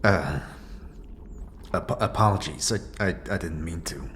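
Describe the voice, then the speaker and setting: A man playing a hesitant, apologetic wandering adventurer in a fantasy audio drama, with halting, stammered questions. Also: sits low, noisy background.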